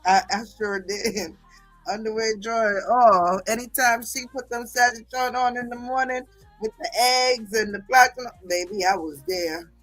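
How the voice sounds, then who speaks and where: A middle-aged woman, chatting casually and telling stories as a livestream host, sassy and slangy with drawn-out emphasis. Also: fairly high pitch, fairly even intonation, a bit bright, faint music behind, poor audio. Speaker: livestream host reading viewer comments.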